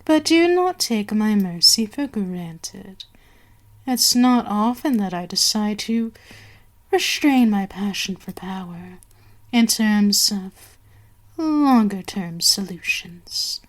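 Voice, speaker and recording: A woman playing an imperious, seductive vampire queen in an ASMR roleplay, haughty and menacing, drifting into breathy whispers. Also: mid-range voice, expressive, slow pace. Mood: cold, commanding.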